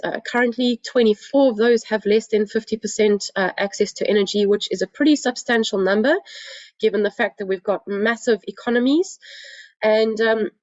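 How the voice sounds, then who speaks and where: Croaky South African woman presenting online. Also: medium pitch, darkish tone, dry recording. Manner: earnest, polite, frequent hesitations. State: croaky from a cold. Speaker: guest speaker. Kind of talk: webinar presentation. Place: home. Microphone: computer mic on a video call. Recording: dull, dry lo-fi webinar audio.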